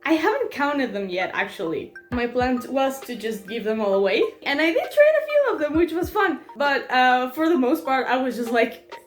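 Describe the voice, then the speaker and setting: A woman's voice: sits high, sing-song, normal speed. A young woman from Mexico, chatting casually and giggling in a vlog, often restarting her sentences. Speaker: vlogger. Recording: echoey room. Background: faint background music.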